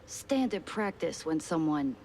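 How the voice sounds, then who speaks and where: A woman's voice: deep voice, sing-song, a little slow, darkish tone, slight background noise, heavily acted. A woman playing a brash, cheerful cartoon mouse pyrotechnist in a game, with cocky, streetwise talk. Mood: chatty, candid. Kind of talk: 1930s cartoon game acting.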